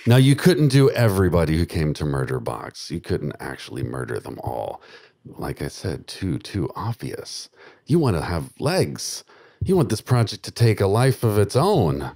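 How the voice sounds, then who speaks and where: A man's voice: sits low, moderate pace. A middle-aged man delivering a darkly comic, macabre stage monologue in a musing manner into a handheld microphone. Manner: musing, deadpan macabre, chuckling to himself. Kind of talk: dark comedy stage monologue. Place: small dark theatre, live audience. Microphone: handheld microphone close to mouth.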